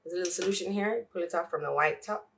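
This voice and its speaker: A sniffly, congested woman chats casually in her closet while doing her makeup. She rambles and jokes to herself in a lowish voice. She sits distant from the mic. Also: fast talker, vocal fry, a bit bright. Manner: rambling, joking, self-talk asides. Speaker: vlogger. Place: home closet. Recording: voice from far off the mic.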